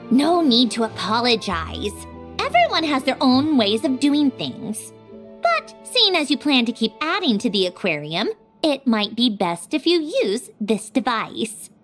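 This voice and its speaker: A woman voicing a haughty, exacting young inventor with a formal, condescending manner in a video game. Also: high-pitched. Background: music.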